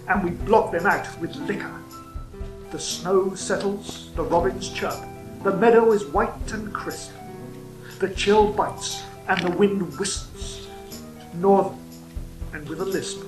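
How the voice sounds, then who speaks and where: A man's voice: sits high, roomy sound, low-quality recording. An actor voicing a wry young royal poet who narrates his tale in rhyme. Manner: rhyming, wry.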